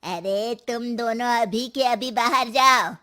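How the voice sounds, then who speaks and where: Tough old mother-in-law, bright high voice, unhurried, scolding, boastful. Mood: defiant. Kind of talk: cartoon voice acting.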